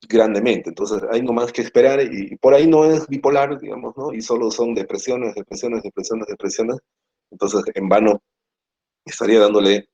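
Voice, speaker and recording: Man, Peruvian Spanish accent, psychiatry lecturer, dark husky voice, explanatory, tag questions, dull lo-fi sound. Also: on the low side. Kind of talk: university lecture. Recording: muffled lo-fi classroom recording.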